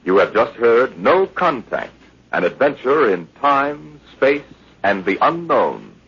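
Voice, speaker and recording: Animated vintage radio host, muffled recording. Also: male voice, mid-range voice, slow, vocal fry, a little hiss or hum. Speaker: radio show host and narrator. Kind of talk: vintage 1950s scripted radio narration.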